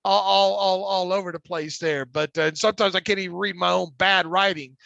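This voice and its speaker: Gravelly stuttering man, lo-fi podcast. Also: high-pitched, steady tone, brisk, pushed and bright, dry recording. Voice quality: gravelly smoker's voice. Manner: frequent restarts and repeated words. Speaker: podcast co-host. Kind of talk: casual sports chat. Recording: dull lo-fi dry sound.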